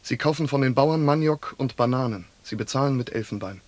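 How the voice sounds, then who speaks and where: A man's voice: medium pitch, brisk, no echo, lightly acted. A man plays a forest guide in a radio drama. His voice is mid-range with a very dry sound. He gives information in short, plain statements. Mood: explanatory. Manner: simple short declarative sentences. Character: local informant telling of forest dwarfs and poison arrows. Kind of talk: German radio drama acting.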